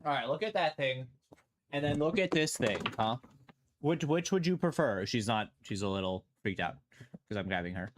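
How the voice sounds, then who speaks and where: Rambling mid-pitched male reaction streamer. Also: lively intonation, moderate pace, a little hiss or hum. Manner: rambling, questioning, playful. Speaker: streamer reacting to horror videos. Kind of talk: casual reaction commentary.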